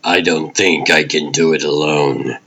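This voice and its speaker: A man's voice: very deep voice, steady tone, measured pace, vocal fry, pushed and bright, heavily acted. A man voicing a brooding, guilt-ridden masked vigilante in a comic dub drama, terse and somber. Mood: broken, somber. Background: music under the voice.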